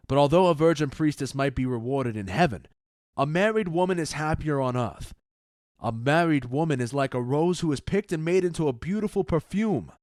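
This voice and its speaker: A man playing a commanding, measured duke in an audio drama, speaking with stately, deliberate authority. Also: a little high, a little mellow, no room sound, studio quality, natural voice. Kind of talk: modernized Shakespeare audio drama acting.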